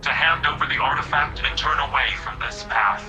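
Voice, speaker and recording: An actor playing a menacing, imperious alien emissary in a video game, formal and condescending, through a radio filter. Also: male voice, medium pitch, a little fast, bright, forceful tone, faint music behind. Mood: cold warning. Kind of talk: scripted video game acting.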